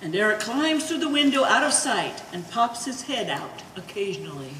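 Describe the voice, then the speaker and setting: A woman's voice: mid-range voice, lively intonation, moderate pace, fairly bright tone. A woman reading scripted stage directions as the narrator of a live play. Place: art gallery stage reading. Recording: distant mic in echoey hall, faint noise.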